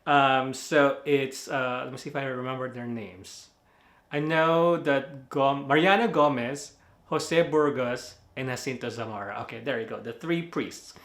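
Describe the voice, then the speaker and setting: Filipino-accented male reviewer, loose and chatty, mid-pitched, recorded in a reverberant room with light background music. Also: measured pace, a bit bright. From Philippines. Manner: rambling, many fillers, laughs at own slips. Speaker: movie reviewer. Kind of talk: casual spoken movie review.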